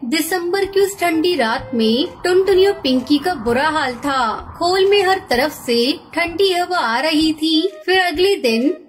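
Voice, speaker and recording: A woman narrates a scripted story. Her voice is extremely high and her pace is slow and measured. Music plays clearly beneath her. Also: expressive.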